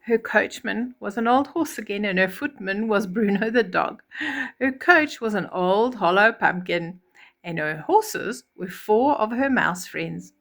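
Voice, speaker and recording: A woman reads a children's storybook aloud in a medium-pitched voice. Her delivery is expressive and animated, and she slips in chatty asides to her young listeners as she goes. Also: measured pace. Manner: animated, acts out reactions. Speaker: storyteller reading a children's fairy tale.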